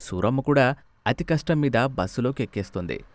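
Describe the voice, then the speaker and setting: A man's voice: mid-range voice, animated, fairly quick, no room sound. A man narrating a scripted moral story as its storyteller. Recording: music under the voice.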